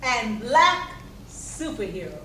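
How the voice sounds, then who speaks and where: A woman's voice: fairly high pitch, very animated, takes it slowly, bright, forceful tone. A woman reading scripted narration for a live stage presentation, picked up from a distance in an echoey chapel. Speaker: readers theater narrator. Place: indoor chapel, live performance. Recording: echoey room, distant mic, clear background noise.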